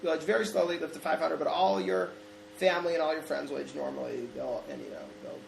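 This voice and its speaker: A man hosting a casual chat, posing hypothetical questions with many halting restarts. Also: on the high side, lively intonation, vocal fry, roomy sound, a little hiss or hum, poor audio. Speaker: host asking hypothetical questions.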